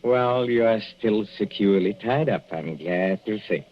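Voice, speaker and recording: An actor playing a gloating, murderous older professor in 1940s radio suspense style, mock-courteous and savoring every hesitation. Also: male voice, on the low side, measured pace, close to an everyday voice. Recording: muffled, dull old radio recording.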